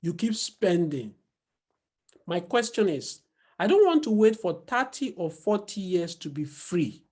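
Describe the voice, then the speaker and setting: A woman's voice: on the low side, big pitch swings, measured pace, warm tone. A woman hosting an online investment club interview, earnest and encouraging. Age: 40s. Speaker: host.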